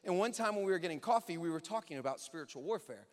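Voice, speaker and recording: High-pitched male preacher in a reverberant hall. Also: animated, racing. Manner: emphatic, informal, rhetorical repetition and questions. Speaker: pastor preaching to a congregation. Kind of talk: contemporary conversational sermon. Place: large church hall, speaking to an audience. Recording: echoey hall with long reverb.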